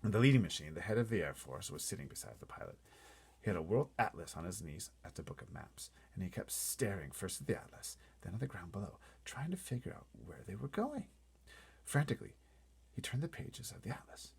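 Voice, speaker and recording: Animated, breathy man reading a story aloud. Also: low voice, a little fast. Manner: theatrical, suspenseful. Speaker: storybook reader. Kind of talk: dramatic readaloud narration.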